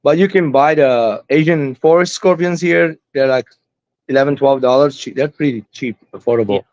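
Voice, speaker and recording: A man's voice: mid-range voice, moderate pace, a little mellow, no room sound, lo-fi sound. A man in his forties from Lebanon with an Armenian-Lebanese accent, Armenian as his first language, chatting at length as a guest about his hobby. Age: 40s. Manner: rambling, talkative, chatty. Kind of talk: casual chat.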